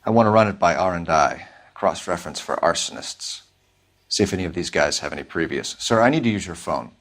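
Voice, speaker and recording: A man's voice: sits low, lively intonation, a little fast, fairly bright tone. An American man playing an intense, accusing arson detective in a video game, with clipped, interrogating speech. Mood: brisk, decisive. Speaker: police detective. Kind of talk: video game dramatic acting.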